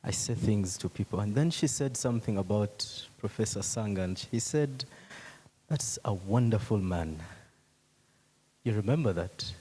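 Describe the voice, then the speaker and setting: A man chairing a conference session in an informal, chatty, anecdotal way with hesitant pauses. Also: lowish voice, warm tone, slightly rough, rough recording. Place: conference hall.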